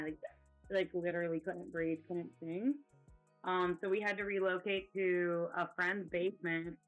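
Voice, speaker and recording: Brief: male band frontman, naturally raspy, bright edgy tone, animated, laughs easily, faint music under. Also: high-pitched, muffled recording. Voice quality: natural rasp. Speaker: band co-founder and singer. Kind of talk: casual interview chat.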